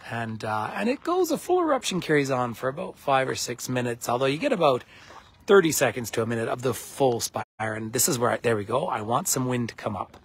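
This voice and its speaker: A middle-aged man from Canada with a husky, croaky voice from a cold, chatting casually as a tour guide on a phone livestream outdoors, greeting viewers as he rambles. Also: mid-range voice, very animated, brisk. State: has a cold. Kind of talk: live-streamed casual commentary to viewers. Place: outdoors at a geyser basin.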